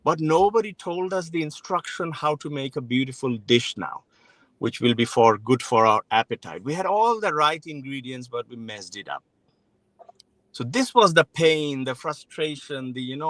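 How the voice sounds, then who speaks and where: A man's voice: on the high side, expressive, moderate pace, a bit bright, no echo, rough recording. A man in his forties, born in Bangladesh, speaking frankly and reflectively as an interview guest, often restarting his sentences. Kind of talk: interview conversation.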